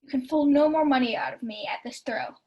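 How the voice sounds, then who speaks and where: Young woman playing a high-voiced genial duke. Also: a little fast, mellow, lo-fi sound, a little hiss or hum, lightly acted. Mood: amused, genial. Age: young adult. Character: genial, generous noble duke, a man. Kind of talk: 1940s radio play Shakespeare acting.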